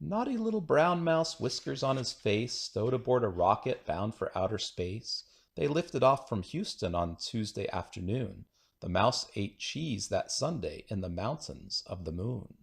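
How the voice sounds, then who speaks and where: A man's voice: fairly low pitch, sing-song, unhurried, gravelly. A man reading children's rhyming poems aloud in a playful, singsong, rhythmic way. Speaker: children's book reader.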